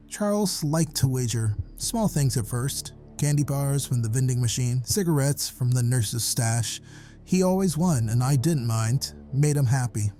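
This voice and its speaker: Male night-shift janitor voiced by an actor, relaxed warm tone, mid pitch, wry and matter-of-fact storytelling for audio horror. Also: natural voice. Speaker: caller.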